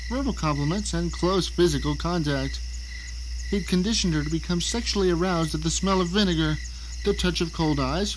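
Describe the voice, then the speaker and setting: A man's voice: high voice, background noise, close to an everyday voice. A man playing a bound, panicked novelist, narrating his captivity in an exclamatory audio drama style. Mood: wry, indignant. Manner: theatrical, exclamatory. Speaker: narrator and lead actor.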